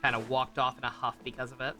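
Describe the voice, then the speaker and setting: Male game streamer, mid-pitched and bright, rambling casual reactions over faint music. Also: little pitch movement, fast talker. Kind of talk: casual live commentary.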